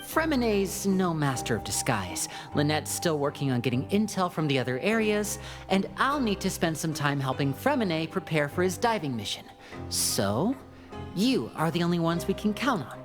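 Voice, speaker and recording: A woman's voice: deep voice, big pitch swings, normal speed. A woman playing a composed, polite and calculating young male magician in a video game. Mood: earnest, instructing. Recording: game music behind the voice.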